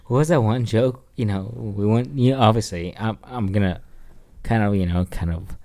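A man co-hosting a podcast in casual banter, joking and halting as he repeats himself. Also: fairly low pitch, dark tone. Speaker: podcast co-host.

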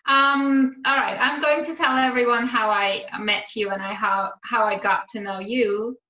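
A woman's voice: a little high, fairly even intonation, measured pace, pushed and bright. A woman in her thirties from Iran, a podcast guest chatting casually with many hesitant ums. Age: 30s. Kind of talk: casual chat. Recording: roomy, dull lo-fi sound.

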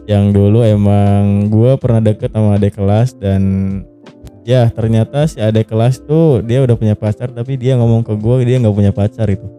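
Young male podcast host, very relaxed warm voice, slangy joker who needles and curses, faint music bed. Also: fairly low pitch, moderate pace. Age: young adult. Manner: teasing, joking, swearing freely. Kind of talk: casual slangy podcast banter. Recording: music playing behind the voice.

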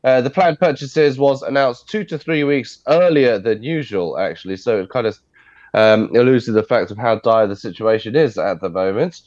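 A man briefs the news from a video call, his voice congested from a cold. The audio is dry and slightly dull, and he explains at an even, unhurried pace, filling gaps with uh and um. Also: medium pitch, dry recording. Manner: steady explanatory delivery with frequent uh fillers. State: congested, stuffy nose. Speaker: news correspondent.

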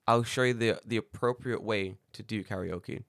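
A man co-hosting a casual podcast, his voice a little raspy, telling stories with lively acted-out quotes and plenty of swearing. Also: fairly low pitch, normal speed, no room sound, studio quality. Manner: animated storyteller acting out quoted dialogue, swears freely. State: slightly raspy voice after karaoke. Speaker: podcast co-host. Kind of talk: casual chat.

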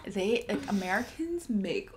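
A woman's voice: medium pitch, sing-song, a little slow, crowd noise. A woman co-hosting a podcast in casual chat, playful and laughing often. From Filipino and Sri Lankan heritage. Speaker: podcast co-host. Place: Florida.

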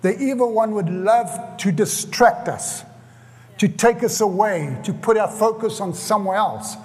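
A man's voice: high voice, a little slow. An older man from South Africa with a South African accent, preaching a sermon in an earnest way and repeating phrases for emphasis. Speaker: pastor. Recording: hall reverb.

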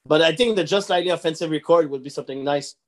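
A man who speaks natively, hosting a casual chat, halting and repeating words, full of "you know". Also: a little high.

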